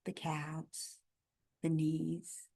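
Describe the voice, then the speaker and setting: A woman guiding a body scan meditation in a gentle, calm, instructional manner, in measured phrases with pauses between them. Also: sits low, vocal fry, breathy edge. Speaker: meditation guide.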